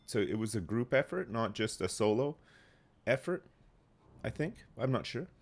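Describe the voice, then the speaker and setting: A man's voice: mid-range voice, lively intonation, moderate pace, breathy edge. A man in his thirties streaming a game live, phlegmy and rambling as he answers chat. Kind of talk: casual live gameplay chat.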